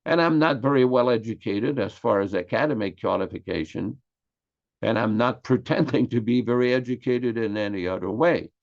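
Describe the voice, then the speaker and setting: A man's voice: mid-range voice, vocal fry. An older man from Quebec with a Québécois French accent, French his first language, giving an earnest, emphatic class on scripture. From Quebec, Canada. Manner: earnest and emphatic, stressing key words slowly. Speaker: religious class speaker. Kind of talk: lecture on scripture. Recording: slightly dull lo-fi audio.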